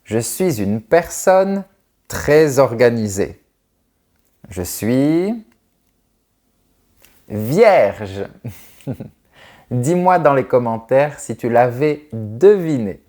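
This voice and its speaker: Slow, expressive French teacher, man. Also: mid-range voice. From France. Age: 30s. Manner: carefully articulated, friendly teacherly delivery. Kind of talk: slow, clear video Q&A for learners.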